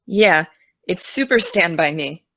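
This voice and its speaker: A woman's voice: on the low side, lively intonation, a little slow, bright, forceful tone, no echo, heavily acted. An actress playing a gung-ho teenage girl podcaster, teasing and friendly, in a naturalistic audio drama. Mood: keen, friendly. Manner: teasing, enthusiastic.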